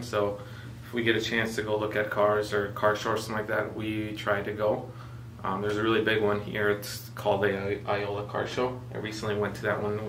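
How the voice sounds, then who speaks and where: A man in his twenties from Mexico, co-hosting a casual vlog chat, relaxed and full of fillers. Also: lowish voice, roomy sound, distant mic, a little hiss or hum. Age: 20s. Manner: relaxed, filler-heavy, joking. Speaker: vlogger co-host.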